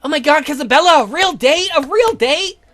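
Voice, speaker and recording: A woman's voice: high-pitched, animated, brisk, a bit bright, soft background music. A woman playing eager, chatty young girl cousins in a horror visual novel. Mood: excited. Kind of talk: performed visual novel reading.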